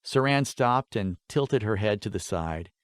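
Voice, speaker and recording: A man reads a fantasy audiobook with lively, wide-ranging intonation. His delivery is that of an expressive storyteller, and the sound is close and dry with almost no room in it. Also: on the low side, measured pace, smooth, no room sound. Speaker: audiobook narrator. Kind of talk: scripted fantasy story narration.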